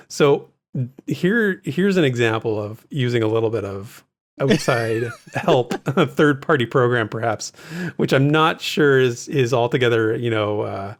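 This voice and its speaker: A man hosting a casual gaming recap podcast, chatting informally and reading out listener posts in an easygoing way. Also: mid-range voice. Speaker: podcast host.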